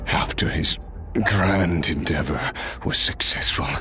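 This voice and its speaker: An actor playing an old immortal warrior in a video game, weary and wistful, with a reflective, wry manner. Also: male voice, low-pitched, expressive, slow pace, pushed and bright, slight background noise, full character voice. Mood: solemn reminiscence. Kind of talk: dramatic video game voice acting, storytelling.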